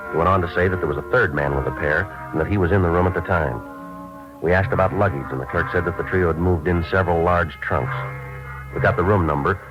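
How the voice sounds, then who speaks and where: A man with an American accent playing a terse, unflappable police detective sergeant in a clipped, deadpan 1950s radio drama style. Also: very deep voice. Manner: deadpan, matter-of-fact. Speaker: detective narrator and lead actor. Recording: dull vintage radio recording with music.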